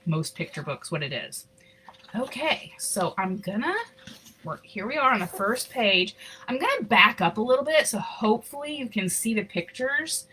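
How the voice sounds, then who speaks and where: A woman reading a picture book aloud in an expressive storytelling style, with casual, informal asides. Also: mid-range voice. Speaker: reader of picture books aloud.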